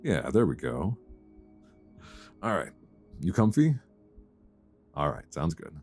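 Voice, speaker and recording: A man playing an affectionate, bookish boyfriend in an intimate ASMR roleplay, speaking softly, tenderly and soothingly close to a binaural microphone. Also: rumbling low, very animated, faint music behind, heavily acted. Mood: cozy, sleepy. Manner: soft, tender, unhurried, soothing.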